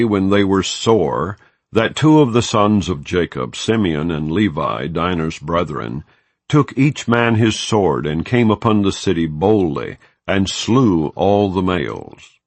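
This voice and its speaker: A very deep male voice reads scripture in a slow, solemn, measured way. His pitch swings widely, rising high and dropping low from phrase to phrase. The sound is dry and close, with no room around it. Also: dry recording. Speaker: scripture reader.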